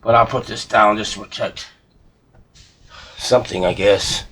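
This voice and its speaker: A man's voice: lowish voice, fairly even intonation, a little slow, bright, forceful tone. A man working as a hobbyist repairer, giving casual, rambling commentary and thinking aloud as he works. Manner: muttering to himself, thinking aloud. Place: indoor workshop. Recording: clear background noise, clicks of handling.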